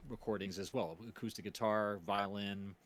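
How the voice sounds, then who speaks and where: A man hosting a casual livestream chat, telling stories in a rambling, halting way. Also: on the low side, steady tone, a crowd in the background. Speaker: stream host and series producer.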